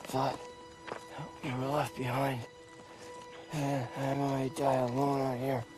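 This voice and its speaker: An actor playing a weary, wry, sincere young soldier from Chicago, back from captivity, in a dramatic game cutscene. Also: male voice, mid-range voice, completely flat delivery, slow, close to an everyday voice, low-quality recording. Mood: dazed, weary. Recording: cinematic music behind the voice.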